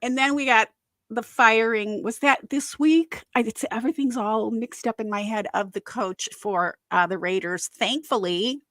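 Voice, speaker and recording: A woman from Wisconsin with a Wisconsin accent, co-hosting a casual football chat, chatty and quick to laugh. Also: fairly high pitch, a bit bright, no echo. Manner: chatty, laughs often, many fillers. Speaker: podcast co-host.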